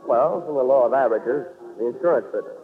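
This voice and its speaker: A man's voice: medium pitch, fast talker, a crowd in the background, close to an everyday voice. An American man playing a hard-boiled, wisecracking private investigator in a 1940s radio drama, with a wry, clipped delivery. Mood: brisk, probing. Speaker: lead actor and narrator. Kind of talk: 1940s radio detective acting and first-person narration. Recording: muffled, phone-like 1940s radio recording, music behind.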